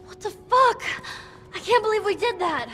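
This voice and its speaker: A woman's voice: a little high, fairly even intonation, normal speed, lightly acted. A woman playing a shaken, outspoken teenage girl in a video game, blunt and given to swearing. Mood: shocked. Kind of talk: dramatic video game acting.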